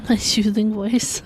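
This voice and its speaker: A woman's voice: mid-range voice, slight background noise. A woman livestreaming a video game, chatting casually in an easily amused, giggly manner. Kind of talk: casual livestream gameplay chat.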